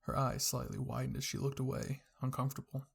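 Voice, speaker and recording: Husky, creaky storytelling narrator. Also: medium pitch, wildly swooping pitch, brisk. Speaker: narrator reading fan fiction. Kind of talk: scripted story narration, ASMR roleplay.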